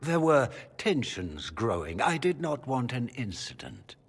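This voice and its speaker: An actor playing a suave, calculating male magister with a husky voice and courtly, theatrical manners. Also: mid-range voice, big pitch swings, unhurried, heavily acted. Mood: guarded. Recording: long hall reverb.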